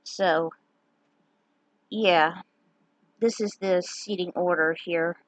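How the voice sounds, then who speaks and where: A woman's voice: lowish voice, fairly even intonation, drawn-out words, no echo. A woman talking casually about her fan fiction story and sharing her notes as a writer. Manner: chatty, sign-off upbeat.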